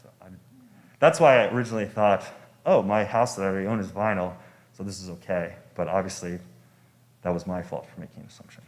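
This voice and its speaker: A man's voice: sits low, fast. A young man giving informal testimony as a homeowner at a public hearing, speaking politely and deferentially with some hesitation. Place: public meeting room.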